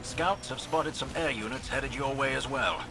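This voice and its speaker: An actor playing a genteel, composed military scout leader in a video game, with a polite, wry manner. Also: male voice, medium pitch, moderate pace, noisy background, natural voice.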